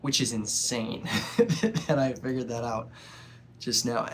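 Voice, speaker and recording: A young man speaks slowly and earnestly, stopping often to find his words. His nose is blocked from a cold, and his pitch stays flat and steady. A faint hiss sits under the recording. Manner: earnest, halting, with frequent pauses. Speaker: vlogger. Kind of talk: personal reflective monologue.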